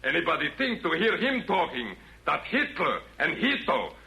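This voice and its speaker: An actor playing a belligerent, scornful tyrant demon with blustering 1940s radio delivery. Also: male voice, on the high side, wildly swooping pitch, normal speed, sharp tone, exaggerated voice, low-quality recording. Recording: old 1940s radio broadcast.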